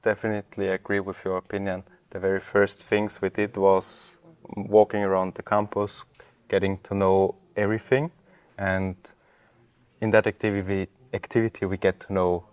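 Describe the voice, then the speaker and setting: Deep, flat-voiced young Austrian man. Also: normal speed, clean tone, dry recording. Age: young adult. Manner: polite, slightly formal, reads prepared questions. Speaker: student host. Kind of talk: interview hosting.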